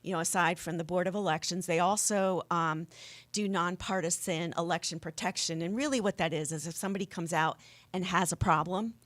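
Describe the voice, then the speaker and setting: An American woman being interviewed as a rally organizer, explaining things conversationally in a halting way. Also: on the low side, a bit bright.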